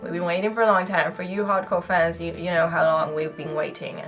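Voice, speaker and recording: A woman's voice: on the low side, flat delivery, fairly quick, fairly bright tone, dull audio, faint music behind. Candid woman vlogging. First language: Swedish. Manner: rambling, candid, laughs between phrases. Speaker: YouTuber. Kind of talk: casual vlog monologue.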